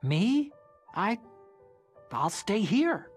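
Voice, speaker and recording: An actor playing an earnest, excitable young American scientist ally in a video game. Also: male voice, high voice, extremely slow, faint music behind, exaggerated voice. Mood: earnest resolve. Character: nerdy earnest young male scientist ally, newly resolute. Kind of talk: dramatic video game radio-call acting.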